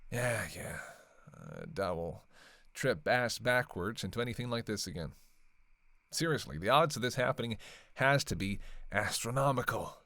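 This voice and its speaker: A man's voice: fairly low pitch, very animated, normal speed, vocal fry, pushed and bright, dry recording, heavily acted. Man playing a sardonic, teasing male vampire. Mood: teasing, sarcastic. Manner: teasing, sarcastic, sweary banter. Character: sardonic, flirtatious, long-lived male vampire killer, playfully teasing. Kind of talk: casual roleplay audio acting, one side of a dialogue.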